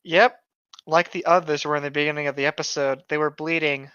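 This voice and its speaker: A man's voice: on the high side, fairly even intonation, brisk, bright, forceful tone, clear, resonant tone, dry recording, muffled recording. A man co-hosting a comedy show, casually reading aloud with mocking, sweary commentary.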